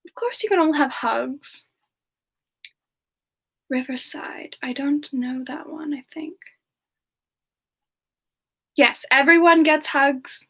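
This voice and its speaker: A woman voicing a gentle, affectionate character in a soft-spoken semi-ASMR chat with viewers. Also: high voice, slow, long pauses, exaggerated voice. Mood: affectionate, relaxed. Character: Frostbyte, gentle affectionate character who loves hugs. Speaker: live stream host.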